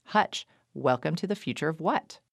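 A woman hosting a radio show about music, reading a scripted intro and then asking interview questions in a measured, conversational way. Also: deep voice, animated, vocal fry, dry recording, clean studio sound. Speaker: radio show host and record label owner.

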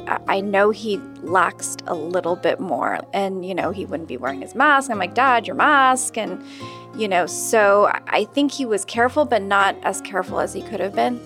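A woman's voice: mid-range voice, normal speed, fairly bright tone, faint music behind. A woman giving a personal, spoken reminiscence as an interviewee. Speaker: interviewee remembering her late father.

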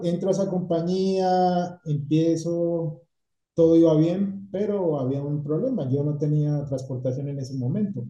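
A middle-aged man from Colombia, a painting company owner, telling his story in a relaxed casual chat and dropping English words into his Spanish. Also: a little high, normal speed. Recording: echoey room, dull lo-fi sound.

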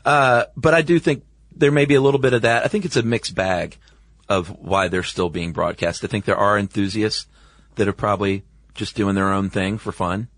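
A man's voice: lowish voice, steady tone, moderate pace, a bit bright, no echo. An American man chatting casually as a podcast co-host, with informal, laid-back phrasing. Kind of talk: casual conversational chat.

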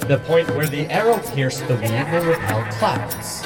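An actor playing a sage, small non-human companion in a fantasy audio drama, measured and formal with dry teasing. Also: mid-range voice, big pitch swings, distant mic, loud music behind the voice. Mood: advising.

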